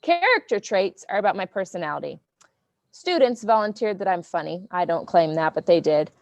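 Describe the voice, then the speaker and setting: Brief: dry-sounding woman teacher, loud and playful, keeps kids on track. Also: on the low side, expressive, fairly quick, no echo. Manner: loud and goofy, checks in with "okay?". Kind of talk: classroom lesson to children.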